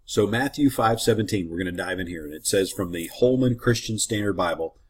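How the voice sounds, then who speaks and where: A man's voice: low voice, a little fast, husky. A man leading a casual Bible teaching, slightly congested and sniffling, in a friendly, conversational way with rambling asides. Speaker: ministry teacher.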